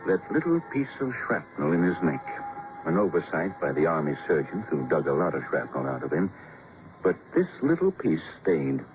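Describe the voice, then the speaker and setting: A man playing a grave, cultured, mournful doctor with florid, measured phrasing in an old radio drama. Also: a bass voice, sing-song. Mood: intense, emotional. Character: grave, cultured, theatrical male society doctor, mournful and guarded. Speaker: actor in a radio detective drama. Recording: muffled old radio broadcast with music.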